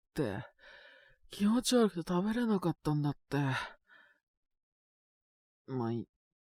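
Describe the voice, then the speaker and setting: A man playing a sick, stubborn but shy teenage boy in an intimate Japanese audio drama, speaking in a halting, casual, congested mumble. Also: fairly high pitch, warm tone, no echo, studio quality. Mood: weary, unwell. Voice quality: congested cold-sick voice with coughs.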